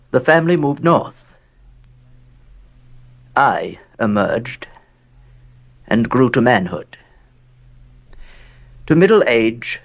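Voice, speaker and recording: An aging, brooding gentleman telling his own gothic tale in an audio drama. His voice sits at a medium pitch, and he speaks deliberately and gravely, letting phrases hang between weighty pauses. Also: dull audio, heavily acted. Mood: sombre, weary. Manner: measured, deliberate, solemn delivery with dramatic pauses. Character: old bereaved wealthy American man, brooding gothic narrator. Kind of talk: dramatic first-person horror narration.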